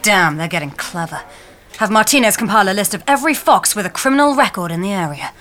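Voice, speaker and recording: A woman playing an obsessive, stubborn police chief in a deadpan detective parody sketch, clipped and brooding. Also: mid-range voice, fast talker, lightly acted. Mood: grave, suspicious. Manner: clipped, brooding, self-serious.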